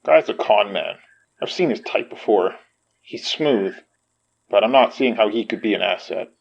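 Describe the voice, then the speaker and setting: Gravelly, skeptical detective. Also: male voice, on the low side, animated, normal speed, highs cut off. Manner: blunt, sardonic. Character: gruff, skeptical male detective. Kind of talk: audio fiction podcast acting.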